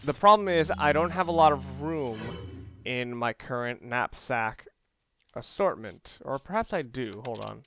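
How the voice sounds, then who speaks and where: A man's voice: medium pitch, expressive, a little slow, a bit bright, slight background noise. A man giving casual let's play commentary, rambling and thinking aloud as he explains what he is doing. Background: game music and sounds.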